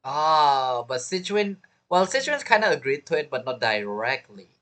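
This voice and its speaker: A man's voice: a little high, big pitch swings, normal speed, fairly bright tone. A man giving casual, playful reaction commentary on a video game story, chiming in informally.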